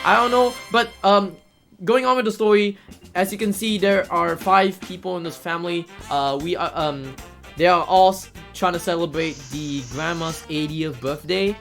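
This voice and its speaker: A man's voice: high voice, moderate pace, a bit bright. A man giving casual, hesitant live commentary as he speedruns a video game, often repeating words. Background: game music.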